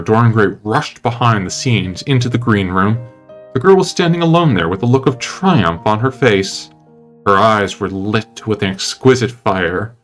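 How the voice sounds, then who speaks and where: Man narrating a novel over music. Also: lowish voice. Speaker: narrator reading a novel aloud. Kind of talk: dramatic audiobook narration. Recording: music under the voice.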